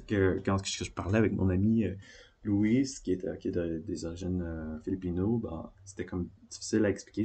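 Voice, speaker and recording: A man from Beauce with a Quebec French accent, rambling through personal reflections in a halting way, often searching for words. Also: low voice, creaky, mellow. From Beauce, Quebec, Canada.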